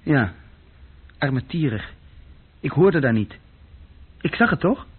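A man's voice: mid-range voice, big pitch swings, slow pace, husky, full character voice. An actor playing an uneasy, insecure young junior clerk who tells his story hesitantly and intimately in a radio play. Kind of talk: radio play acting, intimate first-person narration. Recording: dull, muffled old radio recording.

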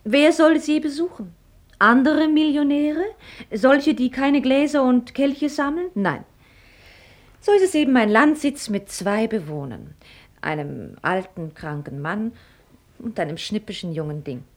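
Radio drama: proud, pert rich daughter, young, articulate and mocking, highly varied melody. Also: female voice, animated, measured pace. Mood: wistful irony. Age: young adult. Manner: articulate, mocking, ornate phrasing. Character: pert, sharp-tongued young heiress, witty and proud. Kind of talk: German radio play acting.

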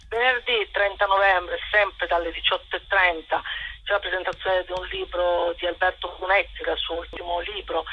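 A woman's voice: lowish voice, breathy edge. A woman explaining informally as a radio interview guest, hesitant and leaning on filler words. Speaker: interview guest, arts project organiser. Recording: dull phone line on radio.

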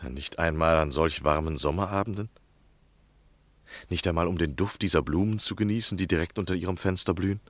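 A male actor plays a courtly, insistent literary journalist in a 1960s radio drama. His voice is very low and the sound is close and dry. He speaks with formal gallantry, always gently pressing for more. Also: fairly quick, no echo, close to an everyday voice. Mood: gallant, conversational. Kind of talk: 1960 German radio play acting.

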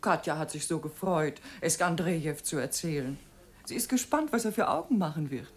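A woman's voice: low-pitched, normal speed. An actress playing a genteel middle-aged wife and mother in a German radio drama, anxious and firm, given to admonishing. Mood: bright, domestic. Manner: expressive, admonishing.

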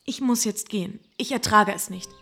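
An actress in a German radio play playing a shy, earnest young gentlewoman with a formal, halting, pleading manner. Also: medium pitch, vocal fry, soft background music. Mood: distressed, pleading.

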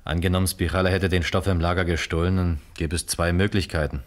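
An actor playing a calm, methodical police detective in a radio crime drama, speaking in a measured, logical way. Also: male voice, low-pitched, natural voice. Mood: calm, reasoning. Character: calm, methodical male police detective reporting to his captain.

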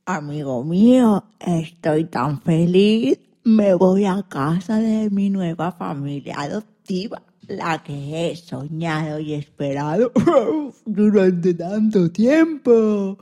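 A woman voicing a kindly, reassuring male dog in a children's radio play, gentle and drawn-out in delivery. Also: on the low side, animated. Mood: happy, affectionate.

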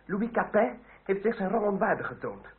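An actor playing an earnest, deferential young revolutionary in a Dutch radio drama, courteous and somewhat halting in speech. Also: male voice, high voice, sing-song. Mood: fervent. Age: young adult. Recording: tinny, band-limited, hissy old radio recording.

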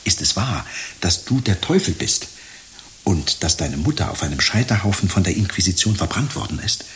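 An actor playing a naive, insecure young man in love in a radio play, earnest and halting, often repeating himself. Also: sits low, sing-song, fairly bright tone, a little hiss or hum. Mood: probing, scornful.

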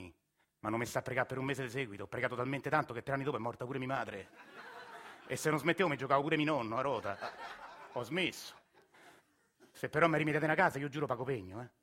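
A man acting a pleading, sharp-voiced Roman workman. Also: mid-range voice, natural voice. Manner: colloquial, pleading, exasperated. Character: Agostino, put-upon Roman working man, desperate and plaintive. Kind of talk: theatrical monologue with several characters.

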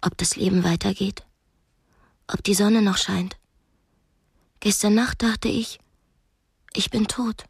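A young captive woman speaks in an intimate, educated way. Her medium-pitched voice is husky and often breathy, anguished yet defiant, in a very dry studio sound. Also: fairly even intonation, slow pace, pauses often, dry recording, heavily acted. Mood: brooding, despairing. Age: young adult. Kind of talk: radio drama acting, intimate diary monologue and dialogue.

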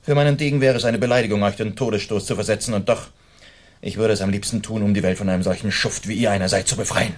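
A man acting a daring masked avenger in a radio adventure drama. His voice is fairly low, and he speaks with courtly scorn and cool command, chivalrous yet mocking. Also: steady tone, fast, natural voice. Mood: scornful. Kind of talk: German radio play acting.

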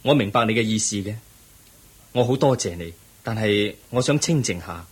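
Radio drama lead: a world-weary young prince, Hong Kong Cantonese accent, markedly husky, reflective and formal. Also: male voice, on the low side, lively intonation, moderate pace. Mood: calm, resolute. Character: pensive young crown prince, weary of palace pleasures.

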